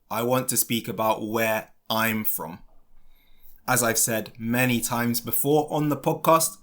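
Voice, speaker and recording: A man from London with a British English accent, hosting a podcast lesson and speaking clearly and deliberately for learners. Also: medium pitch, fairly bright tone. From London, England. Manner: clear, deliberate delivery with simple phrasing. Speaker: English teacher and podcast host.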